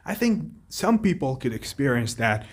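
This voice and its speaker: A man whose first language is Arabic speaks in a relaxed, reflective monologue. His voice is husky and of middling pitch, and he pauses, backtracks and fills gaps as he searches for words. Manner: thoughtful, halting, with many restarts and fillers. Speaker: vlogger. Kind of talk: casual monologue.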